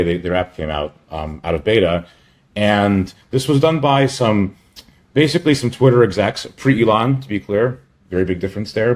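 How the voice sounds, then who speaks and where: A man giving a casual screen-share product update as a company chief, in a halting, rambling way with offhand asides. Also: lowish voice, a little mellow.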